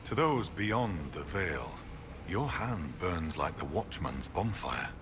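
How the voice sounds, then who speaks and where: Solemn oracle shaman for a fantasy game, really low and swooping, ceremonial, noisy ambience. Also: sing-song, full character voice. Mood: mystical, grave. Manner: ceremonial, unhurried, oracular. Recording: clear ambient noise behind voice.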